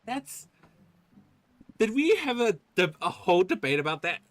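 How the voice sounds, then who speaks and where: A man livestreaming a game with casual reaction commentary, musing aloud in a halting way. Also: high-pitched, very animated. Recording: game music behind the voice.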